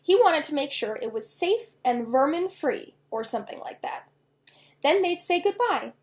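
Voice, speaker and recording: Higher-pitched woman reading a children's novel. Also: expressive, moderate pace. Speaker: author reading own novel aloud. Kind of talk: expressive children's book reading.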